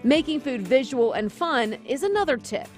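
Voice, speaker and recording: A woman's voice: high-pitched, big pitch swings, moderate pace. An American woman narrating a scripted health segment as a reporter. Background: background music.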